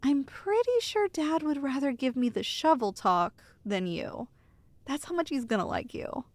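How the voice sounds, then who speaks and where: A woman's voice: high voice, animated, measured pace, dry recording. A woman playing an affectionate, teasing girlfriend who soothes a nervous partner in an intimate ASMR roleplay. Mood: tender reassuring. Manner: tender, playful, teasing, reassuring.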